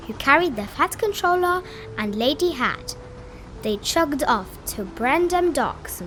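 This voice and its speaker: A woman with a fairly high voice narrates a children's story. Her delivery is very lively in pitch and takes its time, shaping each phrase expressively. Light music sits behind her. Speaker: children's read-aloud host.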